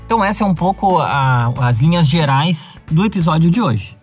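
Young adult male podcast host, southern Brazilian accent, relaxed rambling delivery, faint music bed. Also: fairly high pitch, moderate pace. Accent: southern Brazilian (gaúcho). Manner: conversational, many fillers and self-restarts. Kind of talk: casual podcast monologue.

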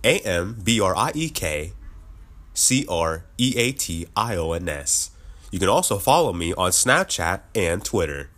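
A cheerful male podcast host winding down an episode with a friendly, rambling ramble of thanks and shout-outs. His voice sits somewhat low and carries a slight sick heaviness. He laughs often between lines. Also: very animated, a little slow. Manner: upbeat, chatty, laughs often. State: slightly sick, voice a bit lower. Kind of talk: casual podcast outro.

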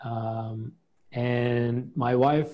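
A man's voice: mid-range voice, drawn-out words. A young adult man from Australia, a pastor, chatting casually in an interview with many fillers and restarts. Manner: relaxed, hesitant, many fillers and restarts, mixes English with Indonesian. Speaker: pastor and singer.

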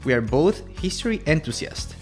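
A man's voice: fairly high pitch, sing-song, moderate pace, faint music behind. A man from Ecuador co-hosting a history podcast, reading scripted narration in turns with his co-host.

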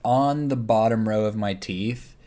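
A man's voice: lowish voice, a little slow, mellow. A young man from Texas with a slight Texas twang, a podcast co-host in rambling casual chat. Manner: chatty, rambling, looks things up mid-talk.

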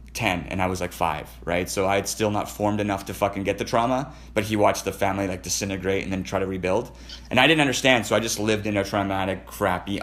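A Canadian man telling personal stories in casual conversation, profane, rambling and emotionally intense. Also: fairly low pitch.

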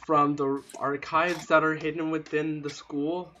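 A man's voice: a little high, flat delivery, measured pace, sharp tone, a little hiss or hum. A man running a tabletop game as game master, explaining rules and narrating at length. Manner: explanatory, patient, talks through interruptions.

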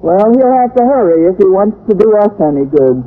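Actress as a wry schoolteacher on noisy old radio. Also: female voice, medium pitch, lively intonation, brisk, natural voice. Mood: wry, deadpan. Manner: dry, sardonic delivery. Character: wisecracking, wry woman high-school English teacher. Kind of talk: 1940s-50s radio sitcom acting. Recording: noisy, tinny old-time radio recording.

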